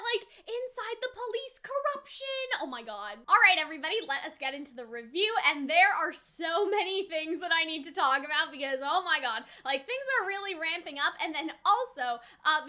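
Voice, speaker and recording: A woman in her twenties giving a casual, rambling reaction and review, animated and peppered with filler phrases like "you know". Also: extremely high pitch. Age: 20s. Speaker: reaction video host and reviewer.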